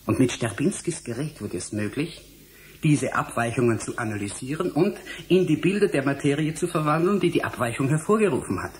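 An actor playing a young, irritable, self-absorbed scientist in a German radio drama, impatient and lecturing. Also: male voice, mid-range voice, animated, brisk, lightly acted. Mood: excited lecturing.

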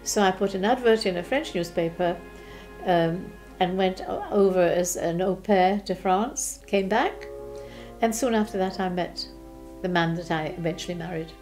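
An elderly woman in her eighties from Czechoslovakia recalls the past in a mellow, soft-toned voice. She speaks gently and unhurriedly, pausing and restarting often, with faint music under her. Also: fairly low pitch. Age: 80s. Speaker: interviewee. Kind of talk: recounting childhood memories. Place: living room, seated in armchair.

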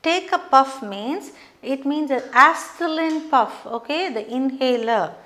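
A middle-aged woman teaching a beginners' lesson at a whiteboard, explaining terms slowly and checking in with frequent "okay". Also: sits high, animated, bright, forceful tone. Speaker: English teacher. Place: studio classroom with whiteboard.

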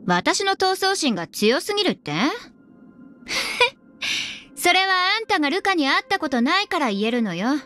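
A woman's voice: extremely high pitch, sing-song, moderate pace, pushed and bright, soft background music, full character voice. An actress voicing a cocky, combative young woman fighter in a game, brash and teasing. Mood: amused, cocky. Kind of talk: scripted game character voice lines.

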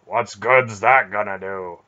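A man's voice: on the low side, slow pace, sharp tone. A man voicing a blunt, burly warrior who talks in curt, contemptuous remarks, in a storybook reading. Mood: gruff. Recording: dull lo-fi audio.

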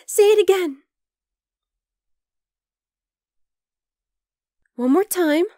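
A woman's voice: extremely high pitch, no room sound, studio quality, exaggerated voice. A woman playing a young wolf-girl girlfriend in an intimate ASMR roleplay, affectionate, teasing and coaxing. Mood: excited, proud. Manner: cooing, playful, coaxing.

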